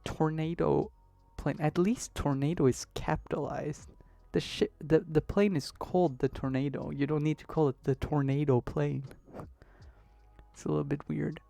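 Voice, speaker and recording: Hoarse young man chatting on stream. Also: a little high, fairly even intonation, moderate pace, dark tone, faint music behind. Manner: rambling, chatty, laughs easily. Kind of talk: casual live-stream chat. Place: home bedroom.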